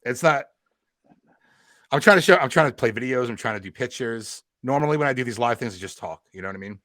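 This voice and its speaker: Male podcast host, relaxed and loose, mid-range voice, dry recording, laughs a lot. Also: medium pitch, fast, dry recording. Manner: laid-back, swears casually, laughs often. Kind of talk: casual chat.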